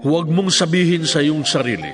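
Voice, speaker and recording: A man's voice: fairly high pitch, expressive, brisk, faint music behind, lightly acted. A man playing a solemn, declamatory voice of prophets and God in a dramatized Bible reading.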